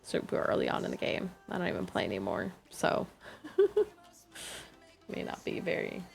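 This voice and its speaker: A woman's voice: lowish voice, wildly swooping pitch, unhurried, gravelly, faint music behind. A young woman streaming a game, chatting casually and rambling with lots of fillers. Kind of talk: casual chat while gaming.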